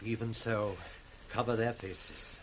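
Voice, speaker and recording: An actor playing a commanding, upright British duke in radio Shakespeare, authoritative and declamatory. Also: male voice, lowish voice, steady tone, takes it slowly, background noise. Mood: grave. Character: commanding, upright duke taking charge amid disaster.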